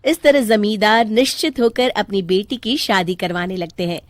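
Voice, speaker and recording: A woman's voice: mid-range voice, moderate pace, no room sound. A woman reading scripted narration for a cartoon folk tale.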